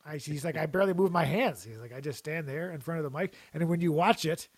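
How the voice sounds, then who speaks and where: A man with a somewhat high voice rambles through jokey stories in casual chat, often restarting his sentences. The sound is close and dry, with no room around it. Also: fast talker, slightly rough, no room sound.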